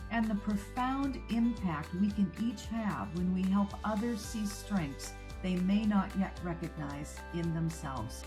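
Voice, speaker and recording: Polished woman podcast host. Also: medium pitch. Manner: polished, measured delivery. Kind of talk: scripted introduction and interview.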